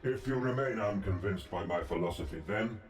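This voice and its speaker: An actor playing a calm, solemn ancient god in a fantasy game, speaking in a formal, measured and imperious way. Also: male voice, low voice, close to an everyday voice. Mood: solemn, assured. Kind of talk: scripted fantasy game dialogue. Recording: roomy, distant, music behind.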